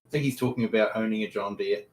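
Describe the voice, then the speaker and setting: A man's voice: on the low side, steady tone, reverberant, a little hiss or hum. A man casually chatting on a live game stream, reading chat aloud with lots of ums. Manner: unhurried, reads chat aloud, frequent ums.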